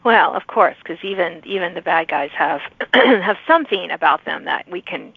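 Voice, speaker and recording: A woman's voice: fairly low pitch, expressive, normal speed, creaky, slightly rough, dry recording. Woman interviewer, dry phone-like sound. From lives in Virginia, United States. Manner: encouraging, affirming. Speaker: podcast host, author. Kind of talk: conversational interviewing. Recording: thin phone-like band, dry.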